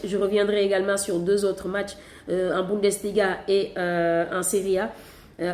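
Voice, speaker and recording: Animated woman reviewing football, roomy echo. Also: lowish voice. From Catalonia. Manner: animated, emphatic, repeats phrases for stress. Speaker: football channel host. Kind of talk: casual football match review.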